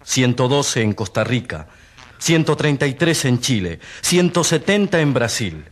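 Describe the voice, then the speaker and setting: A man's voice: mid-range voice, big pitch swings, unhurried. A man playing an earnest, indignant rural doctor giving testimony in a radio drama, grave and didactic. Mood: grave, sorrowful. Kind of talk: Spanish-language radio drama acting.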